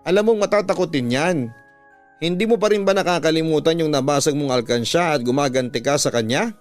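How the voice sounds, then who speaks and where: A man's voice: fairly high pitch, a little fast, faint music behind, lightly acted. A Filipino man voicing an angry, scolding mother in a radio story, with accusing questions.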